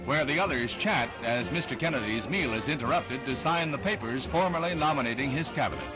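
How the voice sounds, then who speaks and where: A man's voice: mid-range voice, lively intonation, a little fast. An American man reading scripted 1960s newsreel narration in a formal, declamatory announcer's delivery. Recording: dull vintage newsreel film soundtrack, loud music. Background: loud newsreel music.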